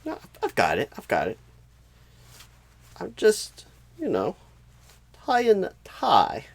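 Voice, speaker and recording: A clueless, flustered male job recruiter for an ASMR comedy roleplay. His voice is husky and gravelly, leaping up and down in pitch. He talks slowly, stammering and breaking phrases in odd places. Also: huge pitch swings, dry recording, exaggerated voice. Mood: flustered denial. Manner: halting, stammering, odd pauses and sudden swoops.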